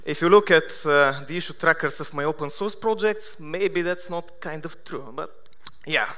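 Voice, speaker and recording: A man's voice: fairly high pitch, normal speed. Bulgarian man giving a dry-humored keynote. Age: 30s. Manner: self-deprecating deadpan humor. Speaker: conference speaker. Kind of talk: conference keynote talk. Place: large conference hall.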